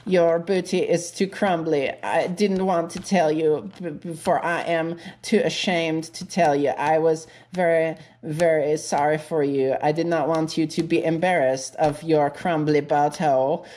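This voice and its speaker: A woman's voice: sits low, steady tone, unhurried, hardly any pauses, darkish tone. A woman voicing a pompous, lovestruck male green ogre who speaks in stilted declarations. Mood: apologetic.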